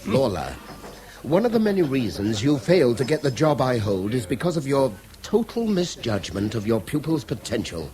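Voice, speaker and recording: An actor playing a proud, articulate, middle-aged British schoolmaster with a testy streak in a 1970s radio drama. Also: male voice, mid-range voice, sing-song, normal speed, warm tone, lightly acted. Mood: cutting. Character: proud, irascible educated schoolmaster, an older man.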